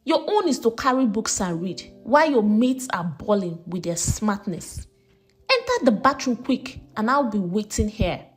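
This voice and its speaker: Menacing uncle for a folktale played by a woman: forceful, domineering, high-set voice with big swings, over music. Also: big pitch swings, moderate pace, husky. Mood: menacing, commanding. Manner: forceful, domineering, coaxing at times. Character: menacing adult uncle, cruel, commanding and threatening. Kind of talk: dramatized folktale storytelling.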